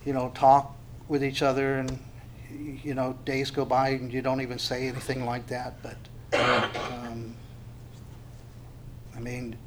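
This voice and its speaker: A man leads a church sharing circle and talks gently, with many halting fillers. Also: medium pitch, completely flat delivery, background noise. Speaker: church group leader.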